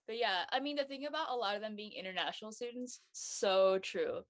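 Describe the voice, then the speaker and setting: A woman's voice: medium pitch, expressive, brisk. A woman in casual conversation, emphatic and given to repeating her points. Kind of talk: casual chat.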